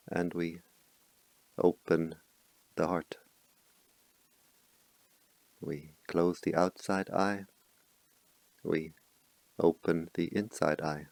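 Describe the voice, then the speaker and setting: A man with a deep voice full of gravelly fry guides a meditation. He speaks softly and slowly, leaving long pauses between short, calm instructions. The sound is close and dry, with very little room on it. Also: no room sound, low-quality recording. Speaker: meditation teacher.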